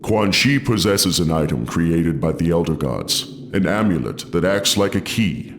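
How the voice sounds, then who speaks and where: An actor playing a solemn thunder god in a video game, formal and authoritative, calling on warriors. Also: male voice, sits low, animated, unhurried, slight background noise. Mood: solemn, urgent. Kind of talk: video game cutscene acting.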